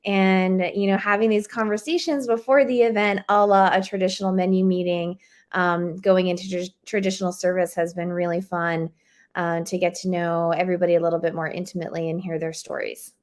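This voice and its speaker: A woman's voice: lowish voice, fairly even intonation, a little fast, a little mellow. Upbeat woman describing her charity. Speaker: charity representative. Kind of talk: interview guest.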